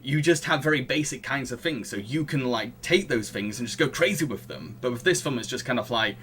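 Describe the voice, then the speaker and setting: A man's voice: medium pitch, lively intonation, fairly quick, fairly bright tone, slight background noise. A man hosting a casual podcast discussion, often chuckling as he talks. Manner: chuckles often while talking. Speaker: podcast host.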